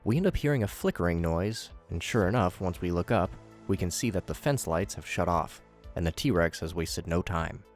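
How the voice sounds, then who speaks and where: A man's voice: low-pitched, animated, normal speed, faint music behind. A man narrating a scripted recap with commentary, adding wry, joking asides.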